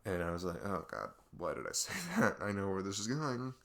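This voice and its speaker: A man playing a young best friend who confides in a halting, rambling, exasperated way in an ASMR audio roleplay. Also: low-pitched, sing-song, creaky, fairly bright tone, exaggerated voice. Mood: confiding. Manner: rambling, halting, exasperated sighs and nervous laughs. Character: young male best friend venting about arguing with parents.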